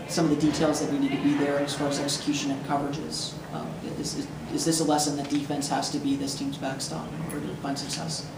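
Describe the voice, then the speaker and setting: A male reporter asking a detailed question at a press conference. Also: fairly high pitch. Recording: echoey room, distant, noisy.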